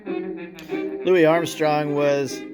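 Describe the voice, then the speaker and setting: A man hosting a history video, explaining things informally in a somewhat halting way. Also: fairly high pitch, fairly even intonation, over music. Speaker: host of a music history video series.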